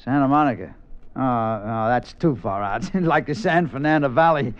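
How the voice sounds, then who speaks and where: A man's voice: medium pitch, lively intonation, normal speed, smooth, lightly acted. An actor playing a bitter, scheming Hollywood man with a wry, slangy manner in a 1950s radio drama. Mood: falsely genial. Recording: dull 1950s radio recording.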